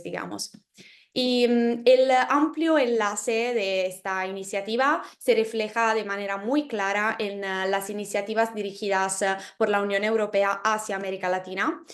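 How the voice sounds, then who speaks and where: A woman, a Spanish speaker, giving a prepared online talk carefully with frequent pauses. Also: medium pitch. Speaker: guest speaker. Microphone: video call.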